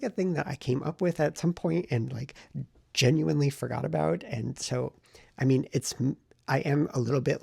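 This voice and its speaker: A man talking casually to camera in a vlog, rambling and thinking aloud in a self-reflective way, with a gravelly, husky voice. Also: mid-range voice, darkish tone, dry recording. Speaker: writing vlogger and novelist.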